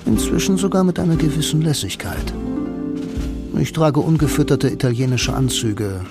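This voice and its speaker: An actor playing a blind, self-assured bank employee, confiding in the listener with dry irony in a radio drama monologue. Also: male voice, fairly low pitch, lightly acted. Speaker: first-person narrator and lead actor.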